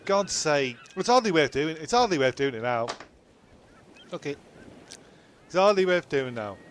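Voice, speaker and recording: A man's voice: on the high side, expressive, moderate pace, a little hiss or hum, close to an everyday voice. Man doing a flustered, ranting castaway comic. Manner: ranting, sweary. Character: flustered male castaway comedian, easily annoyed.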